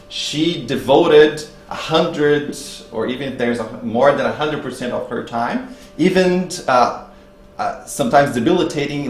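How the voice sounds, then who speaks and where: Halting lower-voiced man in an echoey room. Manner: halting, searching for words. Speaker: speaker at a spiritist public meeting. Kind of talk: religious talk with storytelling. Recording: roomy echo, faint hum and noise.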